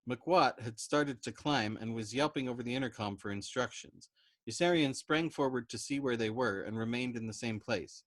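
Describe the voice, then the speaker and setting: A man's voice: medium pitch, no echo. Man narrating a novel, dry close sound. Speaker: storytime reader. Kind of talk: expressive audiobook narration of a novel.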